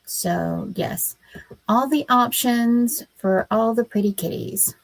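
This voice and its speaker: A woman hosting a casual writing livestream, her voice scratchy from a cold, talking in a slow, halting way with many pauses. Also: fairly high pitch, creaky, no room sound.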